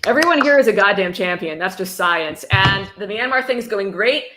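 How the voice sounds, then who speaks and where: A woman's voice: medium pitch, expressive, fairly quick, a bit bright. A woman hosting a casual charity livestream, chatting and reading aloud in a sweary, irreverent, encouraging way. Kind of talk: casual group chat with bits of dramatic reading. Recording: echoey room with background noise and clicks.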